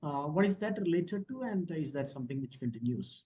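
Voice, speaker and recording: A man speaking as an analyst, asking questions in halting phrases on an earnings call with muffled sound. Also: a little high, expressive, mellow. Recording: dull, muffled call audio.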